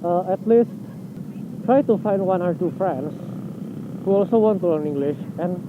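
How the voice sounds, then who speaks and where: A man's voice: sits high, normal speed, background noise. Blunt Indonesian-accented young man, tinny sound. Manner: chatty, blunt, swears casually. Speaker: motovlogger. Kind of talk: casual vlog monologue.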